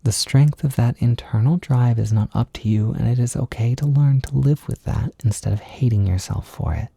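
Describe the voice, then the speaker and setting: A man giving an intimate, informal comfort monologue, speaking in a gentle, reassuring but hesitant and self-deprecating way. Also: mid-range voice, normal speed, gravelly, breathy edge, no echo, studio quality. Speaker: audio creator giving comfort and validation.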